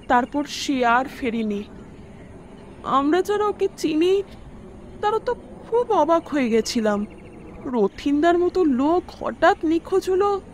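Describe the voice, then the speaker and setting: A woman's voice: high-pitched, a little hiss or hum. A woman playing an anxious mother in an audio drama, recounting eerie events in an earnest, dramatic way. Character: worried mother recounting eerie deaths around her son. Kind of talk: Bengali audio story acting.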